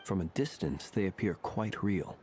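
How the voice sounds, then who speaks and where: Low-voiced, courteous gentleman investigator. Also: lively intonation, slight background noise. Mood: calm. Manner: polite, deliberate, formal. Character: courteous, precise gentleman investigator and monster hunter. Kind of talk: video game voice acting.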